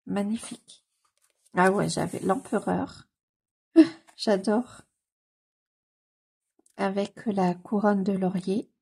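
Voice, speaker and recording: A woman with a cold talks slowly and casually, her voice very husky with a gravelly edge and a dark, mellow tone. She gushes over what she sees, repeats her delight, and often hesitates on fillers. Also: mid-range voice, fairly even intonation. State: has a cold. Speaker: coloring book YouTuber. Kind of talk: casual commentary while leafing through a book.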